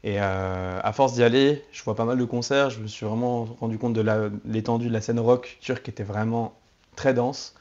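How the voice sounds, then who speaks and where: A man with a mid-pitched voice speaks as a band musician being interviewed. His delivery is relaxed and conversational. The recording sounds a little dull and lo-fi. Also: moderate pace. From Turkish family background.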